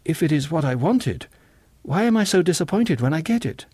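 A man's voice: on the high side, sing-song, normal speed, a little mellow, slightly rough, dry recording, voice pushed up. A man voicing an earnest, naive young man in an audiobook, hesitant and politely protesting. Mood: troubled, protesting.

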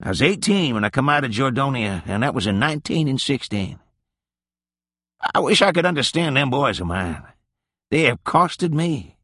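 A worn-out old barfly, as a male reader puts him on, drawling in rustic dialect and pitched well above the reader's low voice. He sounds weary and wry, with a lively, sing-song lift and a close, dry sound. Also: moderate pace, husky, dry recording, exaggerated voice. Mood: rueful. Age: older. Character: aging Southern drinker, weary and wry male.

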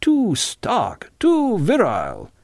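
A man's voice: high-pitched, huge pitch swings, slow, gravelly, darkish tone, dry recording, voice pushed up, exaggerated voice. A man voicing pretentious, scornful young intellectuals in an audiobook, with affected disdain. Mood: affected approval.

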